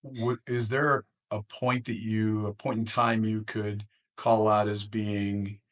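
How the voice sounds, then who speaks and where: A man hosting an interview, asking questions conversationally in short, thoughtful, measured phrases. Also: lowish voice, little pitch movement, fairly bright tone, muffled recording. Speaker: interviewer, host.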